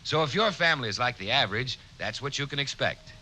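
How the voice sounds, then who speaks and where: A man's voice: medium pitch, animated, quick pace, pushed and bright, low-quality recording. A man announcing an earnest, persuasive 1940s radio commercial.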